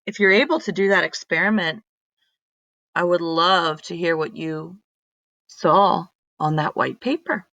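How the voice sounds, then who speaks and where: Bright-voiced woman reading aloud to children. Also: lowish voice, unhurried, gravelly, dry recording, lo-fi sound. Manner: expressive, instructive, addressing young listeners directly. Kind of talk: children's story read-aloud.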